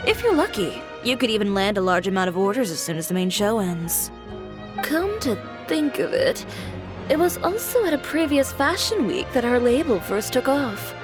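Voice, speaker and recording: A woman's voice: medium pitch, close to an everyday voice. An actress playing a confident, blunt young female boutique owner in a video game, with a cool, curt, self-assured manner. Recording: music behind.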